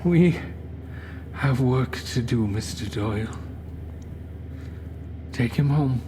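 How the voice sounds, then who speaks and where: A man voicing a frail, wealthy old cult patron in a horror story, grandiose, with slow and halting speech. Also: mid-range voice, noisy background, voice pushed up, close to an everyday voice. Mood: commanding. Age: older. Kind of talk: horror story character acting.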